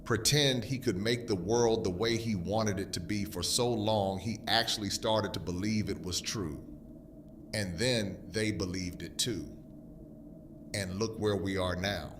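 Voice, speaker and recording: A man's voice: fairly low pitch, measured pace, background noise. A man playing a grave, grief-stricken fallen king who blames himself, speaking theatrically in an audio drama. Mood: bitter regret. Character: grief-stricken, self-blaming fallen king, formerly a zookeeper.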